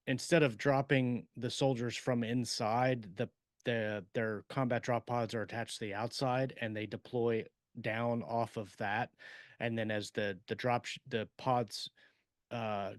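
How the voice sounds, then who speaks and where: A man talks as a podcast co-host in a very dry, close recording. His delivery is casual and halting, rambling through explanations with plenty of examples, at an average pitch for a man. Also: no echo. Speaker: podcast co-host and science fiction writer. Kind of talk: casual chat about writing.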